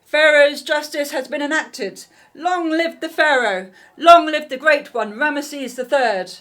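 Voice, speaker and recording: A woman's voice: high-pitched, unhurried, fairly bright tone. Woman acting an imperious, ruthless queen. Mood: proclaiming. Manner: stately, formal, commanding delivery. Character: imperious, ruthless scheming Egyptian queen, first royal wife. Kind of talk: audio drama acting.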